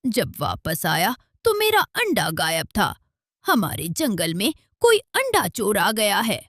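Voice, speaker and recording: A man's voice: sits high, huge pitch swings, slow pace, a bit bright, no echo, clean studio sound, heavily acted. A man voicing a distraught male parrot in a cartoon, wailing and melodramatic. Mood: tearful complaint. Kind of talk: Hindi moral-story cartoon dialogue.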